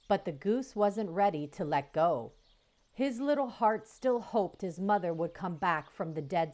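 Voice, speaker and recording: A woman reading a children's chapter book aloud in an expressive, lively way. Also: lowish voice. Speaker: storyteller. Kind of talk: children's audiobook read-aloud.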